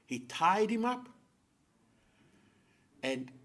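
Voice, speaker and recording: A man telling a folktale as host, with theatrical, deliberate phrasing and dramatic pauses. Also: high voice, very animated, fairly bright tone. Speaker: storyteller, host. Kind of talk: oral folktale storytelling to an audience.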